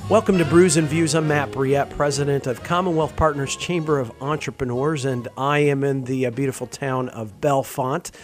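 An American man hosting a casual conversational interview, phrasing his questions in a halting way with restarts. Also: mid-range voice, over music. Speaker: interview host, business association president.

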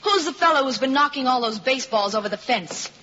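Young fiancée: earnest, coaxing woman, high animated voice, old muffled broadcast. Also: quick pace. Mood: pleading, disbelieving. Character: devoted, concerned young fiancée of a ballplayer. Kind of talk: 1950s radio drama acting. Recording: slightly noisy, muffled vintage radio recording.

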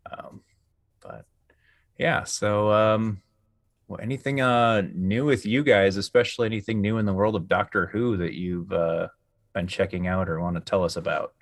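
A man's voice: on the low side, fairly even intonation, normal speed, no echo, clean studio sound. A man hosting a podcast in casual chat, talking in a hesitant, rambling way. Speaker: podcast host.

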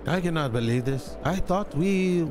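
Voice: mid-range voice, lively intonation, normal speed, music in the background. A tabletop player speaking sarcastically in character, needling another player. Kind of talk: in-character roleplay.

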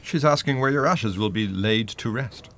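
An actor playing a wry, unsparing spirit guide in a radio play, with a measured, teasing, blunt manner. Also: male voice, mid-range voice, fairly bright tone, natural voice. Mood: mocking.

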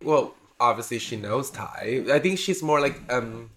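A man's voice: medium pitch, animated, a little slow, fairly bright tone. A camp man chatting loosely in an interview, full of bawdy jokes and frequent laughter. His voice sits in a middle register. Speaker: drag performer interviewed. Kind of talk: casual interview chat.

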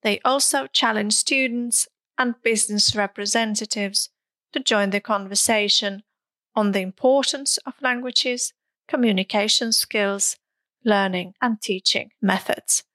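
A woman whose first language is Russian hosts a podcast about languages as a teacher. She speaks at an unhurried pace with clear, deliberate phrasing and friendly questions, and the recording is dry and close. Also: medium pitch, fairly even intonation, sharp tone, dry recording. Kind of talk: podcast hosting and interview.

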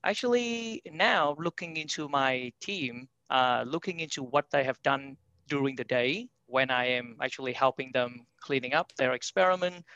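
A man's voice: medium pitch, moderate pace, sharp tone, clear, resonant tone, dry recording, muffled recording. Man from Vietnam, English, frequent fillers, founder in a podcast interview. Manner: frequent fillers, non-native grammar. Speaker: company founder and CEO.

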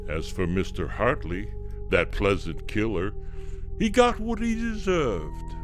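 A sardonic, gleefully macabre host of a vintage radio horror show. He drags out his words very slowly, his pitch swooping up and down, while music plays clearly behind him. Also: sing-song. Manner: sardonic, chuckling, drawn-out delivery. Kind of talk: old-time radio horror hosting.

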